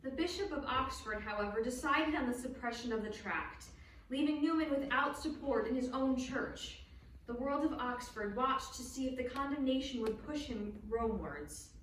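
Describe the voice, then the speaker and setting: Distant echoing hall, faint noise, woman narrator, fairly high voice, scripted dramatic narration. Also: normal speed, a bit bright.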